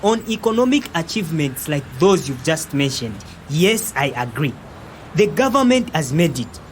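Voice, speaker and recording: An indignant young Zambian man in an audio drama, played by an actor, pressing his point emphatically with lots of interjections. His pitch swings in a lively way, and some background noise sits behind him. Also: moderate pace. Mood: frustrated. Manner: argumentative, emphatic, frequent interjections.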